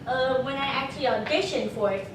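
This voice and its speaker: A woman's voice: sits high, brisk. A woman who voice acts, speaking casually as she answers on a panel. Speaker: voice actor. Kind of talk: casual answer to an audience question. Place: convention panel room. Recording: echoey distant mic, crowd noise.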